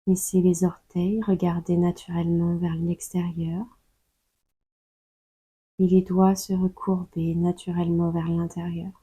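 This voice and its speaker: A woman guides a meditation in a lowish, husky voice. She takes her time, calmly giving soothing instructions and leaving long pauses. The recording is dry and close. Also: no room sound. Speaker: yoga nidra guide. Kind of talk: slow guided meditation narration.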